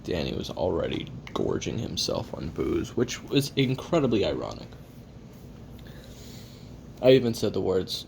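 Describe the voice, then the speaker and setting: A man plays a hard-drinking, jaded male assassin taping his memoirs for an audio roleplay. His voice is low, with a gravelly fry, and he rambles wryly, cursing and putting himself down. Clear hiss and rumble sit under the recording. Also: full character voice. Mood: wry, bitter. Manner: profane, wry, rambling, self-deprecating. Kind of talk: confessional audio roleplay monologue.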